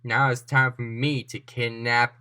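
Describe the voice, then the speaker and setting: A storyteller voicing a gleefully murderous female supervillain, with menacing drawn-out lines that can sink toward a whisper. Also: mid-range voice, flat delivery, bright, forceful tone, voice pushed down. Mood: gloating.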